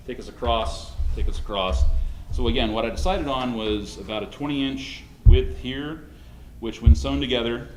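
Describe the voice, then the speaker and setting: A man with a low, slightly hoarse voice walks through a costume demonstration in a halting way, often restarting his sentences. He sounds set back from the mic in an echoey convention room, with faint ambient noise around him. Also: distant mic. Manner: halting, many restarts and fillers. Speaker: convention panel presenter. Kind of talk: live costume-making demonstration.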